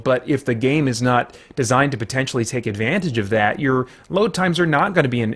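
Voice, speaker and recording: A middle-aged man hosting a gaming vlog, talking through his opinions conversationally in a measured, careful way. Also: medium pitch. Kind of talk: conversational opinion commentary on video games.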